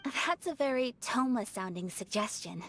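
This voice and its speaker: A woman playing a refined, thoughtful young noblewoman in an anime game, speaking in a measured, composed and formal way. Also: a little high, lively intonation. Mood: calculating. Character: poised, refined young noblewoman, thoughtful strategist, gently serious. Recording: background music under the voice. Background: music.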